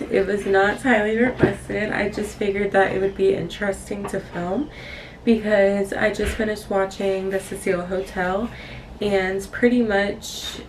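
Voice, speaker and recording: A young woman talks casually and candidly to her viewers, wandering from thought to thought. Her voice is creaky and fairly even in pitch. The recording has clearly audible noise. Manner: rambling, candid, apologetic. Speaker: vlogger. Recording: distant mic, clearly noisy room.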